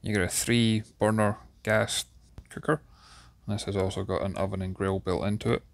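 Scottish male reviewer, 30s, deep gravelly voice, calm guided-tour delivery. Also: normal speed, no echo. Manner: steady, descriptive, enthusiastic about details. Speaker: yacht reviewer giving a walkthrough tour. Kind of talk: guided video tour narration. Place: inside a yacht cabin.

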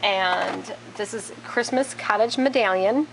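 A woman's voice: mid-range voice, takes it slowly, vocal fry, noisy background. A woman hosting a casual product walkthrough in a large warehouse, plainly naming each item one by one while she films from behind the camera. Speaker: store host showing products. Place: large warehouse with wire shelving. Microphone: handheld camera, speaker behind it.

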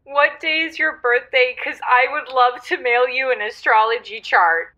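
A woman's voice: high voice, normal speed, sharp tone. An actress voicing a needy, emotional, oddball young woman who calls a radio show and talks in an earnest, gushing way. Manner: gushing, earnest, tearful at moments. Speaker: radio call-in guest. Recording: muffled phone call to radio show.

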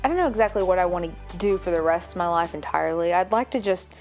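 A woman's voice: lowish voice, lively intonation, quick pace, a little mellow, soft background music. A woman in her twenties from Oklahoma, an incoming law student, chatting in an interview. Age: 20s.

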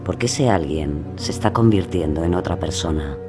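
An actor playing a brooding narrator, telling a crime tale in a deliberate, ominous dramatic style. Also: male voice, sits low. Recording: music behind the voice.

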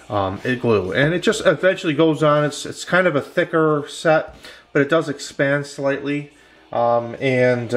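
A man hosting a casual woodworking how-to, talking loosely with lots of fillers and restarts from his workshop bench. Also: medium pitch. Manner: relaxed, many fillers and restarts. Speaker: woodworking channel host.

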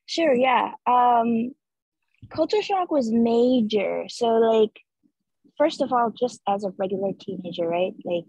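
Guest: young Nepal-born woman, dark husky tone, earnest and chatty on a dull video call. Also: a little high, takes it slowly, no room sound. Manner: earnest, many filler likes. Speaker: interview guest, street artist. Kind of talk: casual reflective conversation. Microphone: video call laptop mic.

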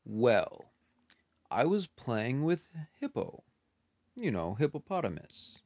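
A man's voice: mid-range voice, sing-song, a little mellow, no room sound, exaggerated voice. A man voicing a worried storytelling elephant. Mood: earnest. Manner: slow, dramatic storytelling with sing-song sounds. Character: gloomy elephant telling his tale. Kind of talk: picture book read-aloud.

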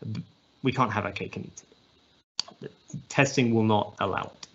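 English man, thirties, husky voice, webinar speaker, dull video-call sound, halting restarts. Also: fairly low pitch. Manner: thoughtful, many restarts and fillers. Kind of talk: academic presentation.

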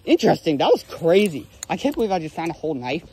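Excitable young man outdoors on a dock. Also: fairly high pitch, fast, noisy background. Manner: excitable, exclaims over finds. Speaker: magnet fishing vlogger. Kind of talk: excited casual commentary. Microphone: handheld phone or body camera.

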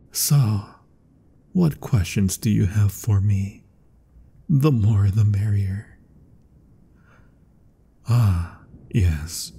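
A man acts out an eccentric mad scientist for a gothic ASMR roleplay. His voice is dark and mellow, very husky and breathy. He speaks with florid, theatrical courtesy and fervor, his words ringing in a long reverb. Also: on the low side, full character voice. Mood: earnest, hopeful. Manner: florid, courteous, dramatic delivery. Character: eccentric, fervent male mad scientist, gothic horror doctor. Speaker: voice actor in audio roleplay. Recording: long reverberant hall sound.

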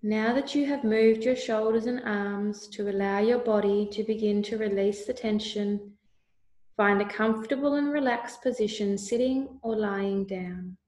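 A woman leading a guided meditation with calm, soothing, deliberate instructions. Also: mid-range voice. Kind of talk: slow guided meditation narration. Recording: roomy, echoey voice recording.

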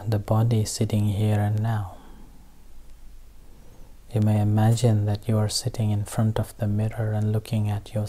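Meditation guide: unhurried, halting delivery in short phrases, soft dark tone, mid-low pitch. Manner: halting, with long pauses between short phrases. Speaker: meditation teacher. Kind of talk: guided meditation, slow spoken instruction.

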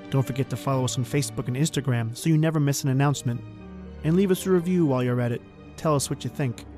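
A man hosting a podcast, reading a brisk, plain sign-off. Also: mid-range voice, mellow. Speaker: podcast host. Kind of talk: scripted podcast outro.

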